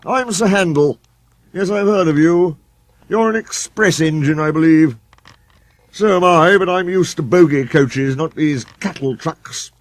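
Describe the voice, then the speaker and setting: A man's voice: on the high side, measured pace, dry recording, voice pushed up, natural voice. A man voicing a pompous, conceited male steam engine in a children's story, sulky and complaining.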